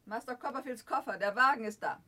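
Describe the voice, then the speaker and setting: A stern household authority in a vintage radio play. Her voice is high, and she speaks in a clipped, cold, severe manner. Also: fast, bright, forceful tone. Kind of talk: 1960s German radio drama acting.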